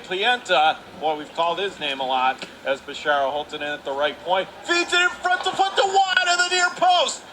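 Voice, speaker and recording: High-voiced American man calling hockey, noisy rink. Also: sharp tone. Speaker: play-by-play commentator. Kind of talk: live radio hockey play-by-play. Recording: noisy arena ambience behind voice. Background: ice rink noise.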